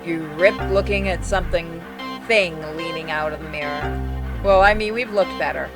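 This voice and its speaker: A woman's voice: fairly low pitch, normal speed. A woman doing a game playthrough, switching between theatrical reading of story text and casual commentary to viewers. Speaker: gaming streamer reading game text aloud. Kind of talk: game playthrough, dramatic narration mixed with casual chat. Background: game music.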